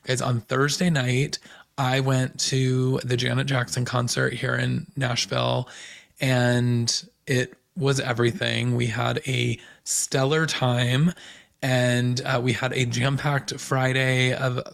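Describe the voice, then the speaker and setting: A man hosting a podcast with a hoarse voice, recapping pop culture in a chatty, gossipy way. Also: medium pitch, flat delivery, fairly bright tone. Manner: chatty, gossipy, fast asides. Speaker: podcast host.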